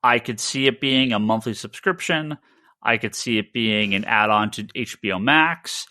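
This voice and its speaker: Man, low voice, bright and forceful, dry close sound, casual podcast host. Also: moderate pace, no echo. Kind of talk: casual comics news discussion.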